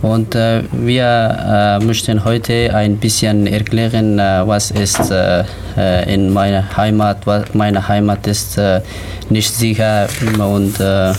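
A man from Afghanistan with an Afghan accent, speaking slowly and haltingly with many fillers. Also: on the low side, little pitch movement, a little mellow, a little hiss or hum.

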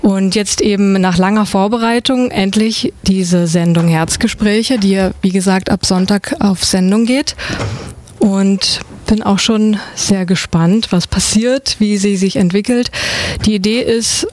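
A woman's voice: medium pitch, a little slow, darkish tone, a little hiss or hum. A middle-aged woman from Brandenburg in Germany, talking casually and a little hesitantly as a radio studio guest. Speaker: studio guest, new radio show host. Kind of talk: casual radio interview talk. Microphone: close studio microphone.

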